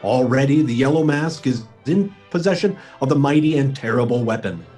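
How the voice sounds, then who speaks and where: A man's voice: mid-range voice, normal speed, a little mellow, faint music behind, lightly acted. A man playing a dramatic old radio serial announcer, narrating with melodramatic suspense. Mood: ominous, dramatic.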